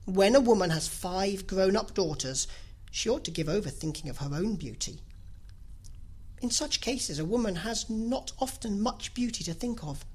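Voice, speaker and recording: A woman's voice: medium pitch, lively intonation, moderate pace, darkish tone, breathy edge. A woman reading a storybook as a fussy middle-aged mother, nagging, exclamatory and full of complaint. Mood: coyly flattered.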